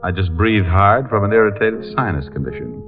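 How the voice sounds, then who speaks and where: An actor playing an easygoing, wisecracking private detective in an old radio show, with dry, bantering quips. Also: male voice, fairly low pitch, lively intonation, fairly quick, gravelly, music in the background. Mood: teasing. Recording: tinny vintage radio recording.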